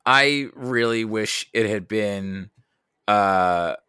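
Guy w a lowish voice on a podcast, rambly n halting film chat, very dry close sound. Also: bright, forceful tone, clean tone, no echo. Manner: halting, rambling, thinks aloud. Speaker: podcast guest. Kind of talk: casual film discussion.

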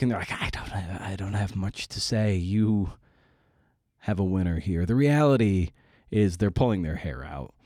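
A man's voice: lowish voice, dark tone, no room sound, clean studio sound. A man hosting a podcast in a casual, conversational style, with an informal, wry and self-deprecating manner. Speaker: podcast host and interviewer.

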